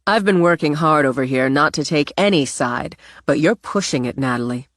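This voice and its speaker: A woman voicing a calm, firm older grandmother who speaks in a measured, steady way. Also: low-pitched, dry recording, clean studio sound, natural voice.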